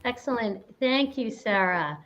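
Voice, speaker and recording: A woman's voice: fairly high pitch, lively intonation, muffled recording. Gracious woman from Puerto Rico. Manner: warm, gracious, with pauses. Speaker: panelist.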